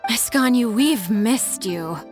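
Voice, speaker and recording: An adoring, melodramatic game clerk. She speaks slowly in a fairly high voice, with music clearly behind her. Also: expressive. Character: adoring, overworked female office clerk, melodramatic. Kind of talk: video game voice acting.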